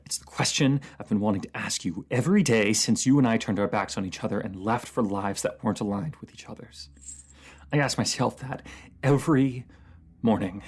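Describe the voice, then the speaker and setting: A voice actor playing a bitter, wounded fantasy ruler in an emotional dramatic monologue, halting and speaking directly to one listener. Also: mid-range voice, husky. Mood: hurt, pleading.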